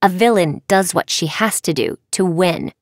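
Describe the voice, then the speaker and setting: A woman's voice: on the low side, moderate pace, no room sound, natural voice. Casting: a woman as a proud young fairy schoolgirl villain, curt and defiant, storybook reading with a dry sound. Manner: haughty, curt.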